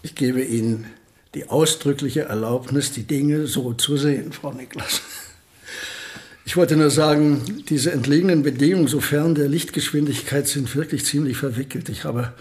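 A man's voice: fairly high pitch, moderate pace, darkish tone, close to an everyday voice. An actor playing a courteous, whimsical, modest male physicist in a radio drama, speaking in polite, formal phrases. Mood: courteous, gently ironic.

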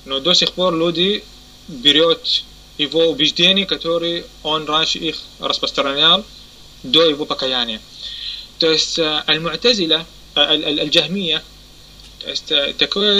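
A man teaching a religious lesson. He pauses often, corrects himself, and keeps asking if his listeners understand. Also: on the high side, bright, forceful tone, a little hiss or hum, low-quality recording. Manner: halting, many fillers and self-corrections. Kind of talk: explanatory lesson.

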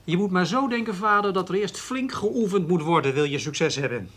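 An actor playing a wry, defiant young adult son in a radio play, answering with dry, teasing retorts. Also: male voice, high-pitched, lively intonation, brisk, fairly bright tone. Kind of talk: Dutch radio play acting.

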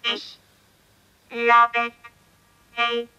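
A slow, high-voiced dazed girl. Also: completely flat delivery, pushed and bright, clear, resonant tone, dull audio, faint music behind, exaggerated voice. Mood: dazed, fragmented. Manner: halting, disjointed, fragmentary speech. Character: barely conscious young girl, sole survivor, murmuring incoherent fragments. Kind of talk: experimental radio play monologue.

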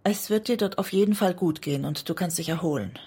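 An actress in a German radio drama playing an anguished woman in love with a self-destructive writer, often speaking in a hushed, breathy way. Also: lowish voice, fast. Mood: pleading, tense. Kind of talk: intimate German radio drama acting, part poetry.

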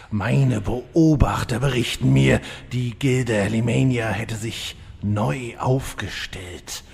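An actor playing a pompous, easily offended evil dragon in a comedy audio drama. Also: male voice, medium pitch, vocal fry, husky, exaggerated voice. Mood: pompous, menacing. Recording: long cavernous reverb.

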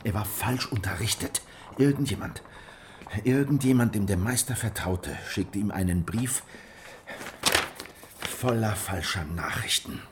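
An actor playing a weary, distrustful survivor of a crushed peasant revolt in a German radio drama, brooding and bitter. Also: male voice, on the low side, unhurried, long pauses, noisy background, lightly acted. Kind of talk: German radio drama dialogue and first-person narration. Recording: music behind the voice.

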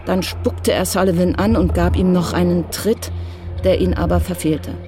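A woman's voice: lowish voice, fairly even intonation, normal speed, music in the background. A woman narrating a radio crime drama from a script.

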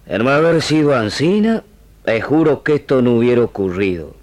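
An actor playing a wounded, frank gaucho sergeant from a frontier fort in a radio drama, with a rural Argentine accent. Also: male voice, medium pitch, big pitch swings, moderate pace, darkish tone, natural voice. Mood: rueful. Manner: rustic, plain-spoken. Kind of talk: old radio drama acting.

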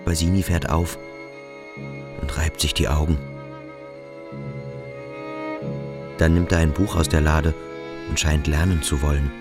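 An actor playing a brooding, introspective boarding-school pupil in a radio drama, musing haltingly in short, deliberate fragments. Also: male voice, extremely low pitch, level tone, music in the background. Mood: watchful. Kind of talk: literary radio drama inner monologue.

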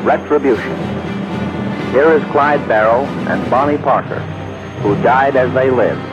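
Male archival announcer, dramatic delivery, average register, dull vintage recording with clear musical backing. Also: mid-range voice, animated, unhurried, music in the background.

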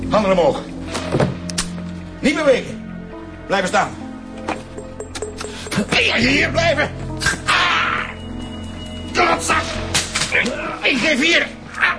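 An actor playing a man caught in a struggle, shouting short commands and gasping. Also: very high voice, animated, extremely slow, long pauses, music in the background, full character voice. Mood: panicked. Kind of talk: radio drama acting.

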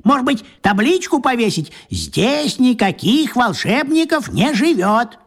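Radio play: grumbling pompous pot-bellied man, very high voice, wildly up and down. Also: very animated, a little slow, husky, full character voice. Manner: grumbling, repetitive, self-important. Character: grumpy pot-bellied man in pajamas, proud veteran.

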